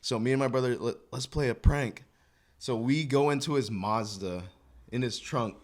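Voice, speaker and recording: A man co-hosting a podcast, telling a story to friends in casual, animated, emphatic slang with plenty of swearing. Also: mid-range voice, moderate pace.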